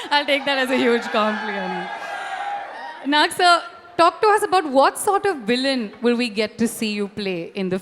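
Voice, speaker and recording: High-voiced woman hosting in a hall. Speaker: event host, interviewer. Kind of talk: lively promotional interview hosting. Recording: reverberant hall sound.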